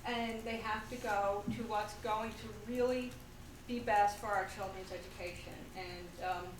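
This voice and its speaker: A woman in her thirties giving an earnest public comment at a town meeting, with some halting fillers. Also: medium pitch, steady tone. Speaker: school board candidate. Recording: echoey room from far off, noisy.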